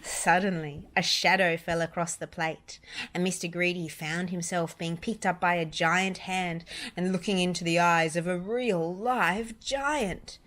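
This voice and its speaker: A woman reading a children's story aloud, deliberate and emphatic on repeated descriptive words. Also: fairly low pitch, fairly even intonation, a bit bright. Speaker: storybook reader, parent.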